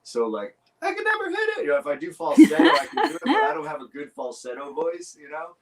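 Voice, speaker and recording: A man with a low voice, a guest musician chatting casually and animatedly, full of "you know" and "right". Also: huge pitch swings, fast, crowd noise. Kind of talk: casual chat.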